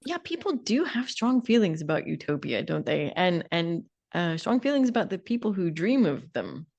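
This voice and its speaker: A woman's voice: lowish voice, very animated, moderate pace, vocal fry, darkish tone, lo-fi sound. Playful woman chatting on a podcast. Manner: playful, colourful phrasing. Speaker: podcast co-host. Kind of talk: casual discussion.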